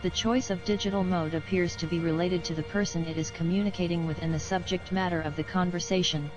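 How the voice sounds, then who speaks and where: A narrator reading a formal document in a measured, clinical way, as scripted narration. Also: medium pitch, steady tone. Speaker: narrator reading a fiction wiki entry. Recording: dull lo-fi voice-over with music behind. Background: music.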